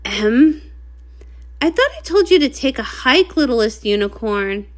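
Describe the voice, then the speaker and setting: A woman's voice: a little high, very animated, a little slow. A woman voicing a commanding, no-nonsense female pony guard captain in a storybook, brusque and skeptical. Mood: impatient, skeptical.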